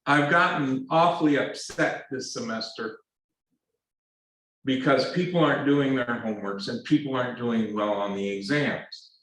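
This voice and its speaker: A man lecturing a math class, speaking in a halting, earnest way with repeated words and many fillers. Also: medium pitch, fairly bright tone. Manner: earnest, pleading. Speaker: math instructor. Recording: very echoey classroom, distant mic.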